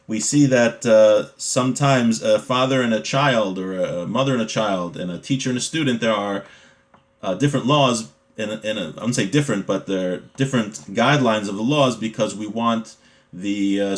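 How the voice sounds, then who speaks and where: A man's voice: fairly low pitch, normal speed. Man teaching an informal religious law lesson. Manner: explanatory, checks in with rhetorical questions.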